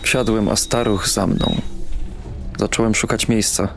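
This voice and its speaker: An actor playing a bitter, brooding young man in an audio drama, narrating and speaking in a weary, terse deadpan. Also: on the low side, unhurried, creaky, slight background noise. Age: young adult. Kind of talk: audio drama first-person narration and dialogue.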